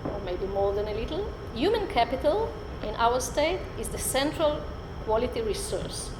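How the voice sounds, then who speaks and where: A woman's voice: a little high, normal speed. A middle-aged Israeli woman, a Hebrew speaker, giving an unprepared guest lecture in English with many "uh" fillers, filmed by a far camera in a lecture room. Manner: animated, gestures a lot, many "uh" fillers. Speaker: college lecturer. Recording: roomy hall with background noise.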